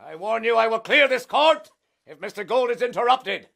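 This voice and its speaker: Period radio drama: stern male court officer, gravelly, commanding, over a noisy crowd. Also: very high voice, lively intonation, moderate pace, exaggerated voice. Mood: stern, exasperated. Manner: commanding, formal, barking. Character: stern 18th-century court official demanding order. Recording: rowdy courtroom crowd behind voice.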